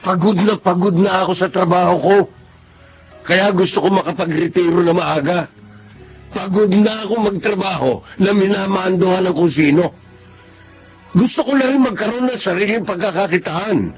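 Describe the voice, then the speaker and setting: A high-pitched, middle-aged husband hooked on gambling, stubborn and self-justifying. He speaks in dramatic radio drama style. The sound is dull, with faint music behind. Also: moderate pace. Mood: defensive, frustrated. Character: stubborn, defensive gambling husband and father, tired of work.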